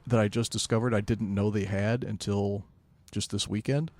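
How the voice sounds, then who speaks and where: A man with a fairly low voice in a dry, close recording. He talks casually as a podcast co-host about pop culture, rambling a little, pausing and rewording his thoughts as he goes. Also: steady tone, darkish tone, no room sound. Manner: halting, rambling, self-correcting.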